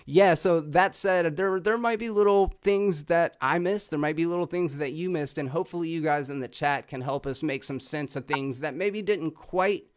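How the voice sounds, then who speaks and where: A man's voice: on the high side, normal speed, few pauses, smooth. A man hosting a movie discussion show in a casual, chatty, informal way. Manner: informal, swearing. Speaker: host of a movie review show.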